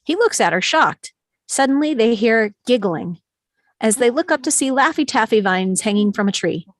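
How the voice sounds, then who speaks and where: A woman's voice: a little high, animated, moderate pace, dry recording, clean studio sound. A woman reading scene directions as the narrator of a live script table read.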